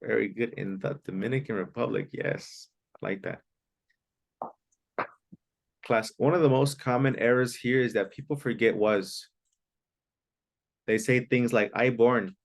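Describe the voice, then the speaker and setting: A man's voice: medium pitch, measured pace, creaky, pauses often. A man teaching an online English class, patiently repeating key phrases and sometimes explaining in Spanish. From Mexico.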